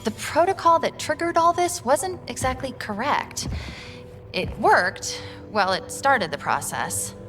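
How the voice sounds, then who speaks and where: A woman playing a curious, chirpy young AI companion in a video game, playful and quick-witted. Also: high-pitched, lively intonation, a bit bright. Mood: bright, curious. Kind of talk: cinematic video game acting. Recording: music behind the voice.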